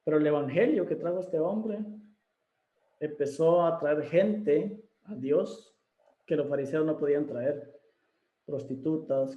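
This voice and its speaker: A man's voice: on the high side, warm tone, roomy sound, highs cut off. A man hosting a video interview, speaking casually with many fillers and restarts. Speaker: interview host.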